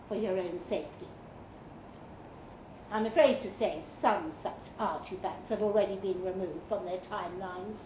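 A woman's voice: fairly high pitch, a little mellow. A woman giving a live reading of a comic guidebook in a dry, mock-official tone with playful emphasis. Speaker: reader at a live fiction event. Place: pub function room with live audience. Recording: roomy live pub recording with noise. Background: audience laughter and room noise.

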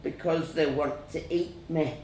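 A man's voice: extremely high pitch, sing-song, takes it slowly, echoey room, slight background noise, voice pushed up, heavily acted. A man voicing a frightened, naive little boy with a small, whimpering voice in a told childhood story. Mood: tearful upset.

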